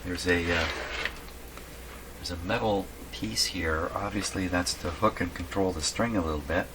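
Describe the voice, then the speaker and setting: Low-voiced man thinking aloud, noisy recording. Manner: halting, thinking aloud to himself. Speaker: host of a radio repair channel. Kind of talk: casual hands-on repair narration. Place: indoors at a home workshop. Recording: clearly noisy room recording.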